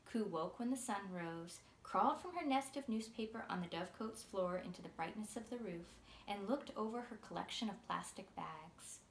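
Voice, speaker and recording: A woman in her thirties reading a story aloud as its author, in a measured, clearly enunciated way. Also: on the low side. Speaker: author reading from own book. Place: small furnished room indoors. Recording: roomy sound with faint noise.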